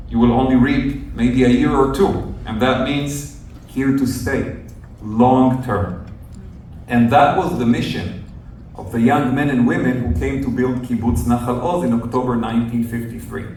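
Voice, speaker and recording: A man's voice: mid-range voice, a little mellow. Man talking from far off. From northern Israel. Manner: earnest, measured, explanatory. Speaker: journalist speaking to a group. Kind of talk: storytelling talk to visitors. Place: outdoors at a kibbutz near the Gaza border. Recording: very echoey, distant outdoor recording with faint noise.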